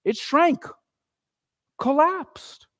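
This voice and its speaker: A man narrating a classic story as a theatrical, animated dramatic reading. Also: very high-pitched, extremely slow. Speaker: story reader and performer.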